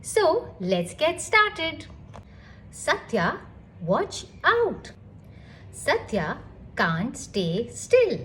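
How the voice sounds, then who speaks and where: Animated, high-pitched woman reading a children's story. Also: very slow, pauses often, a little hiss or hum. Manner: animated, addresses child listeners with questions. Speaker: storyteller reading a picture book aloud. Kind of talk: expressive children's read-aloud.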